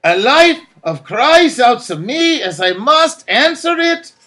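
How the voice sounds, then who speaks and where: A fervent young man of the story , acted out by a male reader declaims in a high, bright voice. He draws his words out loudly and defiantly, like a rebel hero in a narrative. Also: very animated, exaggerated voice. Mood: anguished resolve. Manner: loud, drawn-out declaiming.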